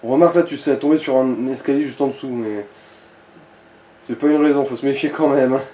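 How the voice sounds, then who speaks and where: A man's voice: mid-range voice, fairly quick, mellow. A man giving casual, crude-tongued co-commentary and advice during a video game let's play. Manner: informal, crude slang. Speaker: co-commentator advising the player. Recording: roomy echo, background noise.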